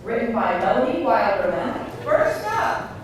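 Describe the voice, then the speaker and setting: A woman's voice: medium pitch, big pitch swings, measured pace. A woman hosting a live event, making announcements to those attending. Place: indoor venue, live event. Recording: very echoey room, distant mic, faint noise.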